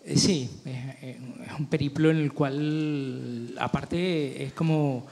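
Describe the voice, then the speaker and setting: A middle-aged man from Chile, a film director answering festival interview questions thoughtfully, with many pauses and restarts. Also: medium pitch, steady tone, vocal fry, darkish tone.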